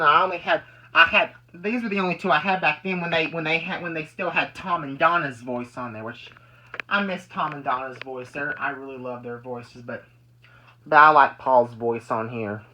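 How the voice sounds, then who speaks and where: Bright-voiced rambler. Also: medium pitch, slight background noise, low-quality recording. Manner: rambling, repeats and restarts phrases. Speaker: amateur video maker demonstrating weather radios. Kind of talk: casual hobby show-and-tell.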